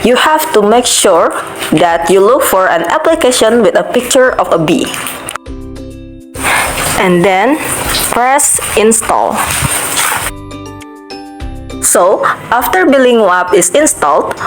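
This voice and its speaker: A young woman from Indonesia, narrating a step-by-step app tutorial in a careful, steady way. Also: fairly high pitch, slow, long pauses, faint music behind. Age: young adult. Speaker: student giving an app tutorial. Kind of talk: screen-recorded tutorial voice-over.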